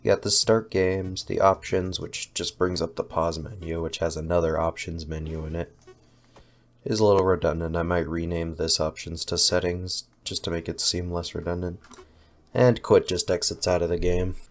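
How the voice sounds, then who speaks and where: A young man with a cold, sounding nasal and a little hoarse, rambling casually through a voice-over of his own game with self-deprecating asides. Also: low voice, level tone, moderate pace. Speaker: indie game developer. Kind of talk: casual gameplay voice-over commentary.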